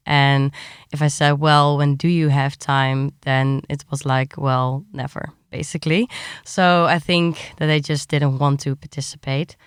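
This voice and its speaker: A woman's voice: deep voice, clean tone, no room sound, clean studio sound. A woman answering questions in a conversational interview, explaining things with examples. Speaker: science journalist, interviewee.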